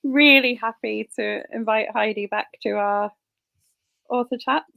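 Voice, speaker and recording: A woman's voice: a little high, unhurried, gravelly, phone-quality sound. A woman hosting a book club author chat over a video call, chatty and enthusiastic. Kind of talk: casual interview hosting.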